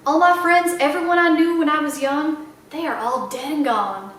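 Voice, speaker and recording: A woman's voice: high voice, normal speed, fairly bright tone, a little hiss or hum. A woman voicing a proud old small-town Southern lady librarian who tells tales with dramatic, repeated emphasis for a children's storybook. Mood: wistful. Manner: emphatic, repeats phrases for effect. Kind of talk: children's audiobook character voice. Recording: very echoey room, slightly distant mic.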